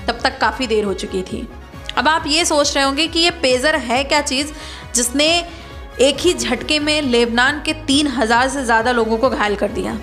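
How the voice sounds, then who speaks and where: A woman's voice: high voice, fairly bright tone. A woman presenting an explainer narration. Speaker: video presenter. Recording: music bed behind voice.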